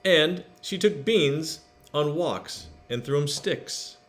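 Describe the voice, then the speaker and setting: Animated man reading a children's story. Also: a little high, takes it slowly. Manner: expressive, asks listeners questions. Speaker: storybook reader. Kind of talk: read-aloud to children with chatty asides.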